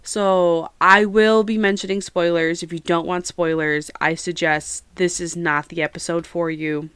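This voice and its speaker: A young woman talks at length on her solo podcast, her voice stuffy and nasal from a head cold. Under the cold her tone stays smooth and clean. The recording is dry and close, with no room echo. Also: fairly low pitch, fairly even intonation, unhurried, fairly bright tone. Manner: chatty, rambling, self-aware about yapping. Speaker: podcast host. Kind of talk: casual solo podcast chat. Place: own room at home.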